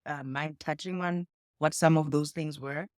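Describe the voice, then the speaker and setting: A woman's voice: sits low, fairly even intonation, measured pace, no room sound, clean studio sound. A woman with a South African accent, from South Africa, hosting a conversational interview for her video channel. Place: indoors in a home.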